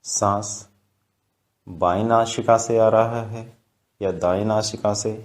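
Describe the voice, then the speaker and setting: A man guiding a meditation in Hindi with calm, measured instructions. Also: fairly low pitch. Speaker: meditation guide.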